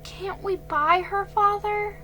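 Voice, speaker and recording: A woman's voice: steady tone, slow, pushed and bright, a little hiss or hum, heavily acted. A woman voicing a spirited, headstrong young girl who pleads with her father, in a children's storybook reading. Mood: pleading. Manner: pleading, high and eager. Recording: faint music behind.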